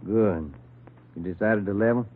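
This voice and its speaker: A man's voice: on the low side, moderate pace, phone-quality sound, natural voice. An actor playing a folksy, plainspoken family-man police detective in a radio crime drama. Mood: wary. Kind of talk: 1950s radio crime acting. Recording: thin, noisy vintage radio recording.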